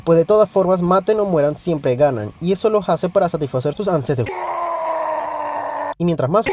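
A man narrates a lore explainer in a casual, scripted voice that sits somewhat high for a man. He talks straight to the viewer, like someone explaining to a friend. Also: mellow. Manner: informal explanatory, addresses viewer directly.

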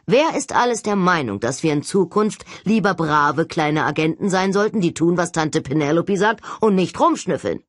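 A breezy young male leader from an adventure novel , voiced by a woman. He is confident and cheerfully commanding, rallying his team with rhetorical flourish. The delivery is animated and very dry in sound. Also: fairly low pitch, normal speed, hardly any pauses, no echo. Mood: wry, challenging.

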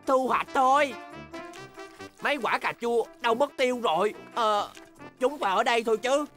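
Woman playing an eager, high-pitched boyish bear cub. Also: moderate pace, fairly bright tone, soft background music, lightly acted. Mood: flustered searching. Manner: exclamatory, determined. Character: eager, stubborn young cartoon bear cubs, boyish. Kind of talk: animated children's cartoon dub.

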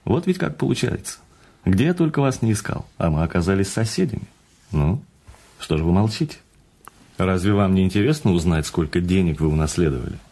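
A man acting in a radio drama as an urbane, patient notary who speaks in a measured, courteous way with teasing emphasis. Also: low-pitched, dark tone, slightly rough, exaggerated voice. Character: urbane, patient male notary breaking news of a fortune. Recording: studio radio play with faint music.

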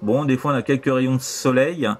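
A man's voice: medium pitch, fairly even intonation, fairly quick, darkish tone, slight background noise. French man chatting on amateur radio. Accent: French, from France. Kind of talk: casual radio contact chat. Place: voice over CB shortwave radio link. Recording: radio transceiver audio.

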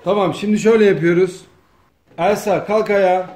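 A man's voice: sits high, fairly even intonation, normal speed, a little mellow. A man, bossy and teasing as he coaches performers and feeds them lines. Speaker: off-camera director of a comedy sketch. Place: home dining room, indoors. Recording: echoey room, faint noise.

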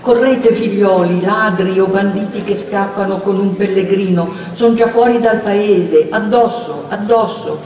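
A man voicing a villager who loudly urges a crowd to chase fleeing bandits, recorded at a distance in a reverberant room. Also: high-pitched, unhurried, few pauses, highs cut off. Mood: urgent. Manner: loud, urgent shouting. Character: villager shouting a call to chase. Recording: roomy long reverb from far off.

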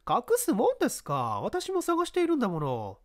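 Woman performing a sassy young woman, high and lively. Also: moderate pace, no echo, clean studio sound. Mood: teasing, defiant. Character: bold, teasing, defiant young woman. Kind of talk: audiobook character acting.

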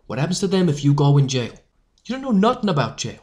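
A young man voicing a tough, protective newsboy leader who scolds in rough street slang. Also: fairly high pitch, very animated, fast talker, darkish tone. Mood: scolding.

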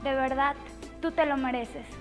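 A woman's voice: high voice, steady tone, unhurried, faint music behind. A young woman in her early twenties with a Mexican accent, giving an earnest, urging testimonial on a lapel mic. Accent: Mexican Spanish. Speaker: company testimonial speaker. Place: bright indoor studio.

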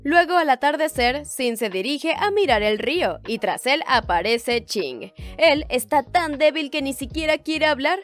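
A woman with a high-pitched voice narrates a scripted drama summary. She tells the plot as a storyteller would in a recap video. Music plays behind her voice. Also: animated, measured pace, few pauses. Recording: voice-over with music underneath. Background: background music.

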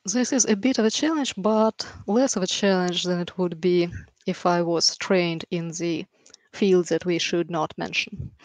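Female podcast guest, Ukrainian accent, lowish pitch, measured and drily funny, dry headset sound. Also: steady tone, no room sound. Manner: dry understated humour. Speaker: podcast guest, software engineer. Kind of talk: measured interview storytelling. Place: room at home, video call. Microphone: headset with boom mic.

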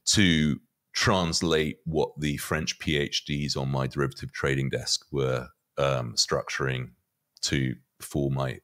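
A middle-aged man giving conversational market commentary as a guest, often halting and repeating words as he thinks. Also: a bass voice, fairly bright tone. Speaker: podcast guest, finance commentator. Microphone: podcast mic on boom arm.

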